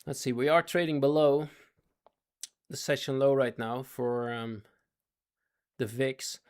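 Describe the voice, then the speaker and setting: A man's voice: medium pitch, fairly even intonation, unhurried, clean tone. A man doing casual live commentary on his trades for a chat audience. He is losing his voice, repeats himself and keeps asking viewers if they follow. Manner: talks in fits and starts, repeats himself, asks chat to follow. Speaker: day trading livestreamer.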